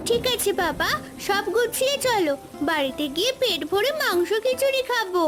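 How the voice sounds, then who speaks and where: A woman plays a kind-hearted little village girl in a cartoon. Her sweet voice is extremely high, with clear music playing behind it. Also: expressive, moderate pace. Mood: helpful, cheerful. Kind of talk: cartoon voice acting.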